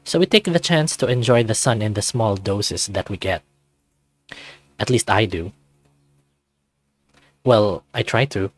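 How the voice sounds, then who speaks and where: A man's voice: on the low side, measured pace, pauses often. A man reading scripted first-person narration from a visual novel.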